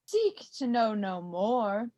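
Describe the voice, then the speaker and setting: A young woman playing an ominous witch in a Shakespearean radio play, speaking in a deliberate, chanting manner. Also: mid-range voice, big pitch swings, dark tone. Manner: incantatory, deliberate. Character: eerie, ominous witch chanting over cauldron. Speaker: student performer.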